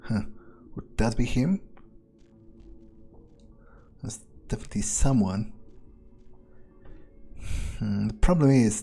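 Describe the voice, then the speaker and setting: A man role-playing a ruthless, casually murderous vampire thinking aloud in improvised game commentary, musing, hesitant and foul-mouthed, with dark amusement. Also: medium pitch, slightly rough. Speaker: gaming streamer role-playing his character. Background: game music.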